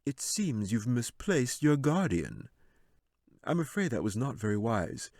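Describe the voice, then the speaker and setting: A sly fantasy wizard acted by a male narrator, speaking softly with false courtesy. He is condescending and menacing, lifting his tone as he toys with his listener. The recording is dry. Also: medium pitch, big pitch swings, a little slow, dry recording, voice pushed up. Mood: mocking concern. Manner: soft-spoken, condescending, mock concern.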